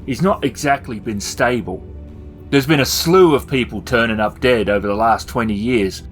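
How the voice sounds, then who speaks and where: A man's voice: medium pitch, moderate pace. A man voicing in-character lines as a tabletop storyteller, dry and matter-of-fact. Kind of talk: casual in-character role-play dialogue. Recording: music bed behind the voice. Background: background music.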